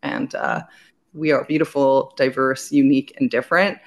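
A woman's voice: extremely low pitch, moderate pace. A woman raised in Belgium, a business owner speaking as a guest in an interview, talking earnestly in long flowing sentences. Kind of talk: conversational interview.

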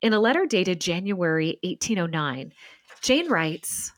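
A woman's voice: fairly low pitch, big pitch swings, measured pace, no room sound. A woman hosting a podcast, speaking as a presenter in narration and interview. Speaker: podcast host. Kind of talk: scripted narration and interview talk.

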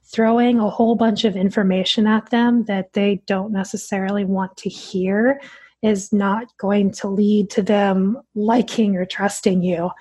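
A woman's voice: medium pitch, flat delivery, a little slow, dark tone, breathy edge. A woman speaking as a dietitian guest on a podcast, answering in a direct, earnest way.

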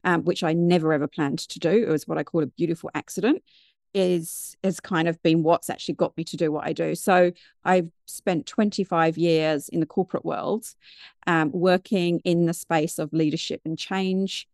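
A British woman in her fifties from the UK, a guest telling her story in a chatty, animated, digressive way. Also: lowish voice, normal speed, dry recording, clean studio sound. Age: 50s. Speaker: guest, mindfulness business founder. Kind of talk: conversational interview storytelling.

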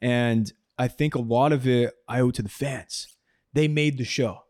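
A man's voice: medium pitch, unhurried, darkish tone, no echo, studio quality. A young man hosting a podcast, talking in an easygoing way with lots of yeahs and laughs. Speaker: podcast host and actor. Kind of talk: casual podcast chat.

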